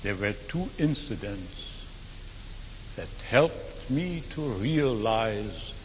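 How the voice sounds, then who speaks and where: A man in his eighties whose first language is German, giving earnest testimony to an audience, slow and deliberate, rising to emphatic swells and dropping to quiet near-whispers. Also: fairly low pitch, expressive, big-room sound, a little hiss or hum. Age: 80s. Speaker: war veteran speaker.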